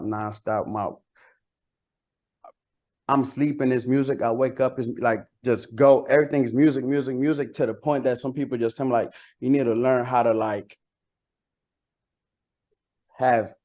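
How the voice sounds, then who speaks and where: A man's voice: mid-range voice, fairly even intonation, a little slow, pauses often, darkish tone. A man from Costa Rica, a native Spanish speaker, chatting casually as an interviewed rapper, slangy and repeating words for emphasis.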